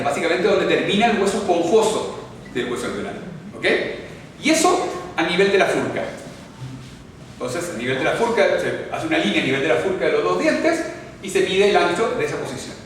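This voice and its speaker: Chilean man, higher-pitched voice, chatty explanatory lecturer, far from the mic. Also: a bit bright, slight background noise. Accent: Chilean Spanish. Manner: explanatory, checks in often with the audience, chatty asides. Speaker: orthodontics lecturer. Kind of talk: live classroom lecture with audience questions. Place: lecture hall. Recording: echoey hall recorded from far off.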